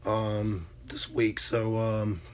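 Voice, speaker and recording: A hoarse man from Alabama, casually chatting with viewers on his livestream and answering their comments, with lots of ums and uhs. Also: lowish voice. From Alabama, USA. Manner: relaxed, drawn-out with many fillers. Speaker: livestream host.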